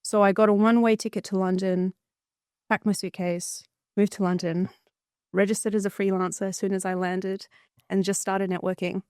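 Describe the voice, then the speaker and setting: A woman speaks in a mid-range, smooth voice. She tells her story fluently and with poise, as a podcast guest. The recording is close and dry. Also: mid-range voice, flat delivery, normal speed, a little mellow, no room sound. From Bulgaria, raised in Australia. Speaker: guest entrepreneur. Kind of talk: podcast interview.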